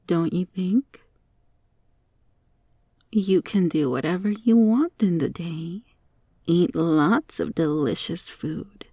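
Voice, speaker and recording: A woman voicing a sly, teasing monster cockroach girl in an ASMR roleplay, taunting and coaxing with deliberate, sometimes whispery delivery. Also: lowish voice, warm tone, dry recording, studio quality, heavily acted.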